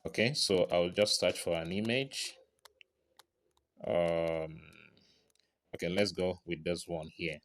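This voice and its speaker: Male tutorial presenter, low register, informal step-by-step narration, frequent little confirmation tags. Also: takes it slowly, pauses often, a bit bright. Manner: frequent check-in tags like right and okay.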